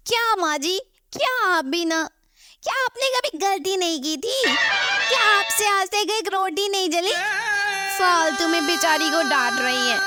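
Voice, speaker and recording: महिला की आवाज़ में ऊँचे सुर की तुनकमिज़ाज बहू. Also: female voice, very high voice. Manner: indignant, dramatic. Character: bossy, quick-tempered young daughters-in-law of the household. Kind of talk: Hindi animated moral story voice acting.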